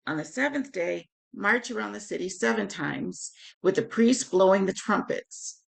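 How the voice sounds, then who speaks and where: A woman with a husky, hoarse voice hosting a live Bible study in an informal, conversational way. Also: mid-range voice, lively intonation, moderate pace. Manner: informal, conversational, greets listeners by name. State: hoarse, sore voice. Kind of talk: live Bible teaching, conversational with scripture reading.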